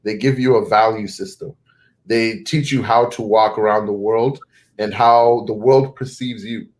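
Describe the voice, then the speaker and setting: A young man with a fairly low voice and a North American accent speaks in an unhurried, conversational way. His first language was not English. He thinks aloud, restarting phrases and correcting himself as he goes. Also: fairly even intonation, darkish tone, rough recording. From Zimbabwe. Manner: thoughtful, restarts and self-corrections. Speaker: guest. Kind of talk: conversational interview.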